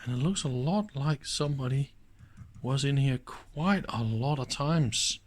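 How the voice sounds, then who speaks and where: Slow-paced man thinking aloud. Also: medium pitch. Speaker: host of an electronics teardown video. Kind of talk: casual hands-on commentary. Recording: clicks and faint background noise.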